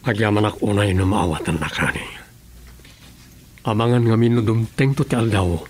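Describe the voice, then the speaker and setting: A man's voice: lowish voice, moderate pace, close to an everyday voice. An actor with an Ilocano accent playing an earnest, polite man in a radio drama. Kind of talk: Filipino radio drama acting.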